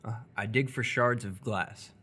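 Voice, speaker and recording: A man playing an awkward, polite seventeen-year-old boy in live radio theatre, speaking in a halting, casual, dryly humorous way. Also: fairly low pitch, bright, forceful tone, breathy edge, full character voice. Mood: awkward, low-key. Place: live stage performance before an audience.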